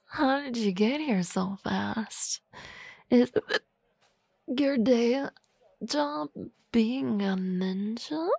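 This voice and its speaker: A woman's voice: fairly high pitch, gravelly, a little mellow, husky, no room sound, full character voice. A woman playing a tipsy, flustered boss. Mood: playful. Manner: slurry, rambling, often whispering. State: drunk. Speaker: voice actress. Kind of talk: ASMR roleplay.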